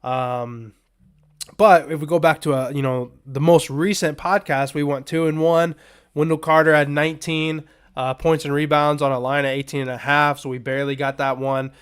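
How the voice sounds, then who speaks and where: A man hosting a sports betting podcast in a loose, rambling, casual way, his voice a little raspy and strained as he is under the weather. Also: fairly high pitch, steady tone, moderate pace. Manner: rambling, loose, filler-heavy asides. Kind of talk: casual unscripted betting picks talk.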